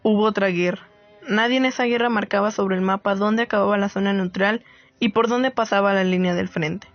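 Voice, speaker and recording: A woman plays a reflective writer narrating a radio play. Her voice is mid-pitched. It is recorded a bit dully with soft music behind. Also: steady tone, brisk, natural voice. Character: reflective woman writer gathering war memories. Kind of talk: scripted dramatic narration. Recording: muffled lo-fi audio under music.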